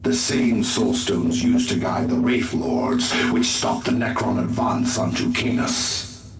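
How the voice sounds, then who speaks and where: A man playing a solemn elven alien seer-commander in a video game, with formal, grave, measured delivery. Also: lowish voice. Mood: solemn, defensive. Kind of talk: video game cutscene acting. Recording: roomy, distant voice over game music.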